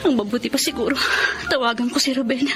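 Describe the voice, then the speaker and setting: Actress playing a high-voiced earnest young woman. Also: fast, lightly acted. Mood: anxious, brooding. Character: honest, troubled young woman, resentful daughter. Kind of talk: Filipino radio drama acting. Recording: music under the voice.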